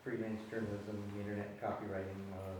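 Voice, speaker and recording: A man's voice: fairly low pitch, little pitch movement, fast talker. A man introducing himself casually as a freelance writer, in an easygoing, offhand way. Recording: echoey room, distant mic, clear background noise.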